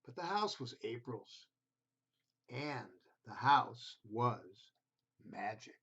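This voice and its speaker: A man reading a children's story aloud in an expressive, deliberate way, speaking directly to his listeners. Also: mid-range voice, slightly rough, dull audio.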